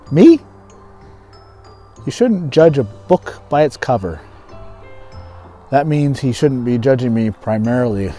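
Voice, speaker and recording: A man's voice: medium pitch, dark tone. Man explaining English idioms conversationally. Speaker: English teacher and video host. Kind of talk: scripted skit dialogue with explanations of idioms.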